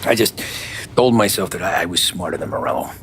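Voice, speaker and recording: A man's voice: low-pitched, brisk, vocal fry, a little hiss or hum. A man playing a hardened, brooding American mobster in a crime video game, with tough, plain-spoken delivery. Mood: uneasy, brooding. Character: hardened male mob gangster, brooding ex-cab driver. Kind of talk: cinematic game acting, confessional crime narration. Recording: loud music behind the voice. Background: music.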